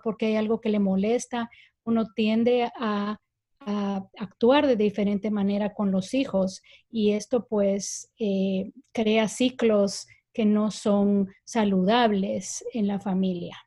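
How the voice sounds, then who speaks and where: A woman from Guatemala with a Guatemalan Spanish accent, speaking slowly and thoughtfully with frequent hesitations as a guest in a discussion. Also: medium pitch, steady tone, mellow. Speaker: guest speaker on parenting and self-care. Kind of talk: casual discussion.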